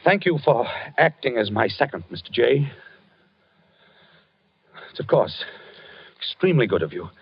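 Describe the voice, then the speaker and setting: A husky sleep-talking duelist. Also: male voice, mid-range voice, very animated, no room sound, exaggerated voice. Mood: dreamy, formal. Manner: formal, polite, measured sleep-talk. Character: gentleman calmly preparing for a duel in his sleep. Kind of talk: 1940s radio acting. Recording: dull vintage radio recording.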